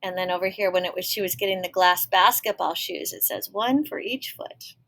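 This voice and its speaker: A woman reading a picture book aloud expressively, pausing to explain and put questions to young listeners. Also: on the low side, flat delivery, a bit bright. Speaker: read-aloud storyteller.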